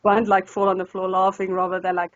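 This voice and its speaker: A woman's voice: medium pitch, level tone, quick pace, no echo, muffled recording. A woman from South Africa whose first language is Afrikaans, talking casually as a language tutor in an interview, with an Afrikaans accent and frequent laughs and restarts. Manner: chatty, laughs often, many fillers and restarts.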